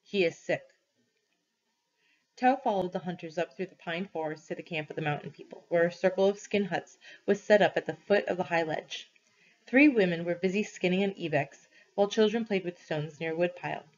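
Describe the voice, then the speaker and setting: A woman's voice: fairly low pitch, fairly quick, muffled recording. A woman reading a story aloud expressively, as a teacher does for students.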